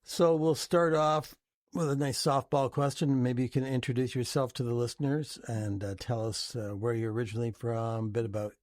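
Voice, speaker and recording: Relaxed male radio host, dry studio sound. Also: mid-range voice, no echo. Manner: relaxed, with frequent "uh" pauses. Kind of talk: radio talk show hosting.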